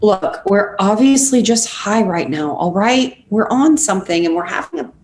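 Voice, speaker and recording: A woman's voice: medium pitch, animated, normal speed, a little mellow, natural voice. A woman playing a blunt, sarcastic, impatient young best friend in a script table read. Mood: exasperated, rattled. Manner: sardonic, impatient. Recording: echoey room with faint noise.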